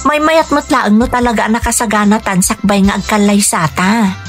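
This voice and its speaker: A woman's voice: mid-range voice, fairly even intonation, moderate pace, close to an everyday voice. A woman playing an earnest, determined young daughter in a Philippine radio drama, speaking persuasively over music. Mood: pleading, earnest.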